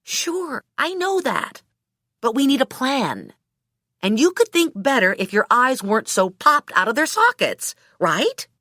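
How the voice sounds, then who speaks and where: A woman's voice: high voice, sing-song, measured pace, bright, forceful tone, dry recording, studio quality, voice pushed up, exaggerated voice. A woman voicing a wry, teasing, sensible female character in audiobook dialogue. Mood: wry, placating. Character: wry, sensible woman calming her hot-headed male friend.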